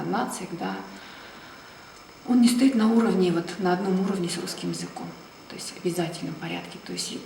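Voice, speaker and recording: A woman from Russia in an interview, answering reflectively and unhurriedly, often trailing off into a soft, breathy tone. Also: medium pitch. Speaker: ballet dancer, interviewee. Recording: roomy, distant mic, noisy background.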